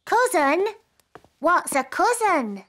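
A woman's voice: very high-pitched, sing-song, takes it slowly, pushed and bright, full character voice. A woman voicing an eager, bossy little princess of about four in a children's cartoon, with a blunt, emphatic childlike manner. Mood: earnest. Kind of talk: animated children's cartoon acting.

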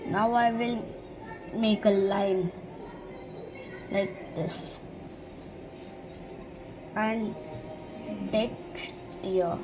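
A woman of middle pitch walks through a digital painting, one small step at a time. She talks slowly in short, plain phrases. Music plays clearly behind her voice-over. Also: fairly even intonation, poor audio. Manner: simple instructions in short broken phrases. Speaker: art teacher. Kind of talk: step-by-step drawing tutorial. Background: background music.

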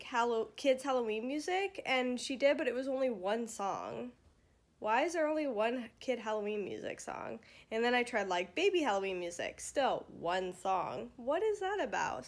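A young adult American woman chatting casually to a phone camera held at arm's length, as a vlogging mother. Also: on the high side. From United States. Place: living room at home.

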